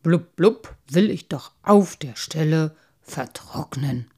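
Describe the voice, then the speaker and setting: Storybook carp, played by a woman: thoughtful male fish, unhurried, lower register, bubbly verbal tics. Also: animated, warm tone. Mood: solemn, sincere. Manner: deliberate, bubbling blub interjections between phrases. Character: slow, thoughtful old-fashioned male carp, loyal and easily moved. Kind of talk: storybook character voice.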